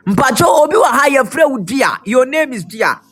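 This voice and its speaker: Hoarse high-voiced preacher. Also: male voice, very animated, normal speed. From Ghana. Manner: fervent, repetitive, shouted declarations. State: hoarse, losing his voice. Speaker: prophet preacher. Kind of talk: prophetic sermon with prayer.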